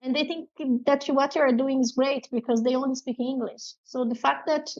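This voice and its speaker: A woman's voice: high-pitched, fairly even intonation, a little fast, darkish tone, highs cut off. A woman from Brazil with a strong Brazilian accent, a native Portuguese speaker, chatting casually as an interview guest and laughing often as she tells stories.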